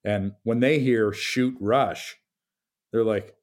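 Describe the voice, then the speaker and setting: A man with a deep voice, a game designer talking casually in an interview, relaxed and full of fillers. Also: slow pace. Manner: relaxed, chatty, many fillers and restarts.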